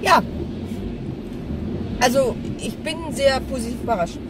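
Higher-voiced woman vlogging in a noisy car. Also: a little slow. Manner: candid, rambling, thinking aloud. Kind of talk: casual chat to camera. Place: inside a car. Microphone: dashboard-mounted camera or phone. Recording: noisy car interior, some distance from mic.

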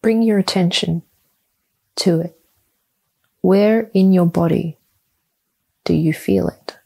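A woman guides a meditation in a husky, slightly gravelly voice. She speaks very slowly and calmly, keeping an even, level tone and leaving long pauses between short, soothing instructions. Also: fairly low pitch, low-quality recording.

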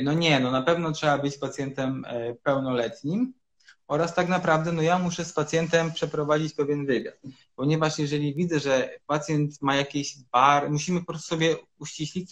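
A man in his thirties, a urologist guest, explaining treatments in measured sentences with frequent hesitations over a video call from a room at home. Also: a little high, fairly bright tone. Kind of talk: explanatory interview answers.

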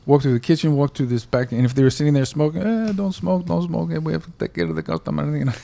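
A man's voice: fairly high pitch, quick pace, vocal fry. A man co-hosting a podcast, telling family stories in a casual chat, laughing often. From United States. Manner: animated storytelling with frequent laughs. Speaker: podcast co-host.

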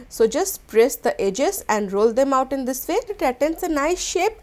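Woman explaining a recipe. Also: a little high. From India. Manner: step-by-step instructional, gentle, with small restarts. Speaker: cooking channel host. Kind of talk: cooking demonstration voice-over.

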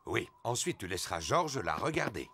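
A man's voice: lowish voice, sing-song, moderate pace, fairly bright tone, slight background noise. A man voicing a hearty, theatrical father pig in a French cartoon dub. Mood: cheerful. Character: jolly, boastful father pig.

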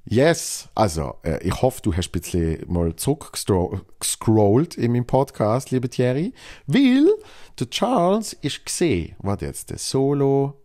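A man's voice: medium pitch, sing-song, a little slow, warm tone. A young man from Switzerland with a Basel Swiss German accent, hosting a solo podcast and talking loosely with many fillers and restarts. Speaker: podcast host. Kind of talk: solo podcast, reading listener mail.

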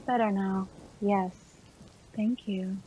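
A woman's voice: mid-range voice, steady tone, slow pace, warm tone, a little hiss or hum, natural voice, rough recording. A woman playing an ailing, yearning young fiancée, timid and genteel, in a radio play.

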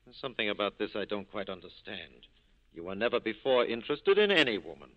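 An actor playing a suave, corrupt police captain with an urbane, droll manner in 1940s radio style. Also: male voice, on the low side, sing-song, fast talker, highs cut off. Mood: shrewd.

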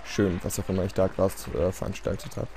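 A man's voice: sits low, flat delivery, fast talker, noisy background. A man giving casual, self-deprecating gameplay commentary in a resigned, rambling way. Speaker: let's play commentator. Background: game music.

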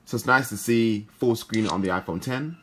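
A man's voice: on the low side, moderate pace, a little hiss or hum. A man hosting a gaming video, giving casual commentary as he plays. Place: indoor room, filming a handheld phone.

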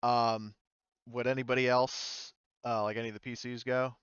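A man's voice: medium pitch, flat delivery, normal speed, fairly bright tone, clear, resonant tone, dry recording, highs cut off. A man narrating a tabletop roleplaying game as game master, hesitant and searching for words.

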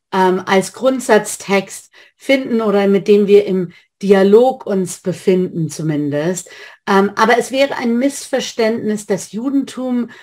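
A woman's voice: mid-range voice, a little mellow. Rabbi lecturing by webcam from a home study, a woman in her thirties, hesitant and full of fillers. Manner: hesitant, many filler sounds, restarts. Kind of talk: online lecture. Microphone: webcam on a video call.

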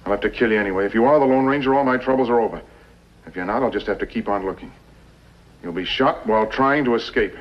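An actor playing a smug, smooth-talking, cold-blooded villain in a 1950s television western. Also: male voice, on the low side, fast talker, natural voice. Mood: smug, menacing. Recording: muffled, noisy 1950s television soundtrack.

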